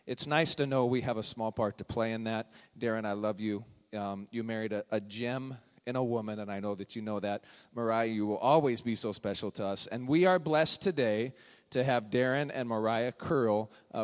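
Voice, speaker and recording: A man pastor introducing guests during a church service, speaking in a halting, heartfelt way with frequent ums. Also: medium pitch. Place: church sanctuary. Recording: long hall reverb.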